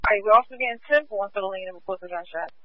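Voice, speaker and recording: Brisk woman police dispatcher. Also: medium pitch, phone-quality sound. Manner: brisk, repeats locations and warnings.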